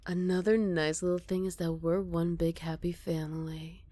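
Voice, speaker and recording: A woman playing a smug, flirty captured villain in an ASMR roleplay, teasing and coy with breathy murmurs. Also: lowish voice, level tone, normal speed, mellow, no echo, natural voice. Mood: coy, sly.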